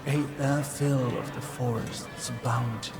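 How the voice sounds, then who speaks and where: A man narrating a scripted fantasy tale as a dramatic, measured storyteller. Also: mid-range voice, fairly even intonation. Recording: loud music behind narration.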